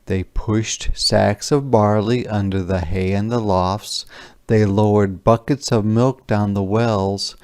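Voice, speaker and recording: A man narrating a children's story in a deliberate, clearly enunciated read-aloud. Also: fairly low pitch, dark tone, no echo, studio quality. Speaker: storyteller reading a picture book aloud.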